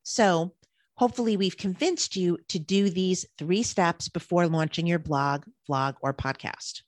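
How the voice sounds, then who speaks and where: A woman's voice: fairly low pitch, measured pace, no echo. A woman co-hosting a podcast who reads scripted points in an easy, upbeat way. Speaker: podcast co-host.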